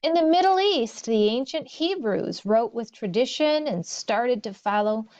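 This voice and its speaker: Slow-paced woman teacher reading aloud. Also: fairly high pitch, lively intonation, darkish tone, highs cut off. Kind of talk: read-aloud of story and textbook.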